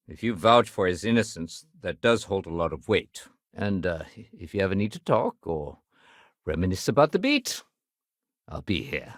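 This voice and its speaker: A man playing a dutiful, wry, level-headed space-colony security sergeant in a video game, with measured, conversational authority. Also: low-pitched, sing-song, no echo, studio quality. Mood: reasonable, low-key. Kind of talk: video game character dialogue.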